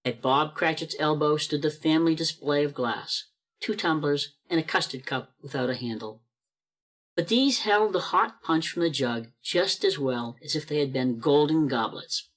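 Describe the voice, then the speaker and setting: Male storybook-style narrator for a radio play, fairly high pitch, expressive scripted delivery. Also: normal speed. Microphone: video call.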